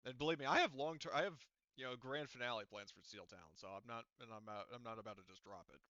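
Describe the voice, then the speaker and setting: A man runs a tabletop roleplaying game in a casual, improvised way. His voice is clear and bright, and he thinks aloud in halting phrases. The audio is dry and a little dull. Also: mid-range voice, dry recording.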